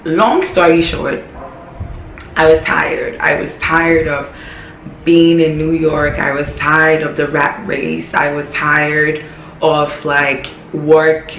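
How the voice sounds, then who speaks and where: A young woman with a hoarse, worn voice, chatting candidly to her vlog viewers and repeating phrases for emphasis. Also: sits low, flat delivery, slow, a bit bright, faint music behind. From Ghana. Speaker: vlogger. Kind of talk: casual talking-to-camera vlog. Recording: echoey room.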